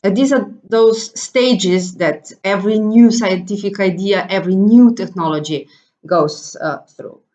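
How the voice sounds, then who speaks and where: A woman's voice: mid-range voice, measured pace, mellow. A woman from Slovenia with a Slovenian accent, giving a conference presentation with frequent hesitations and fillers. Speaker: conference speaker. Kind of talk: presentation talk.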